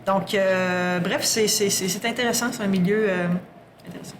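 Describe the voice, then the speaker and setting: A woman's voice: mid-range voice, fairly even intonation, normal speed, background noise. A woman with a Québécois French accent, a guest talking candidly and at length in a casual podcast conversation, often restarting her sentences. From Quebec. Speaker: guest, sustainability executive.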